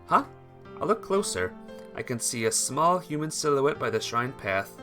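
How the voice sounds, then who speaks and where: Expressive male game streamer over music. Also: medium pitch, moderate pace. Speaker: game streamer reading a visual novel aloud. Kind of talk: casual livestream chat mixed with expressive read-aloud narration.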